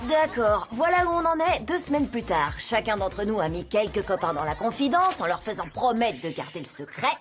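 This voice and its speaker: A woman's voice: medium pitch, very animated, normal speed, few pauses, faint music behind, natural voice. A woman playing a confident, scheming, cheeky schoolboy hero in a cartoon dub, with a breezy, glib delivery. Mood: explaining. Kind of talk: animated cartoon dubbing in French.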